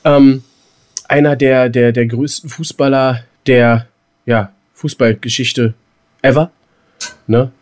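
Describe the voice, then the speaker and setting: A man chatting casually as a podcast co-host, colloquial and halting, rambling from thought to thought. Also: mid-range voice, level tone. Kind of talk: casual, unscripted podcast chat.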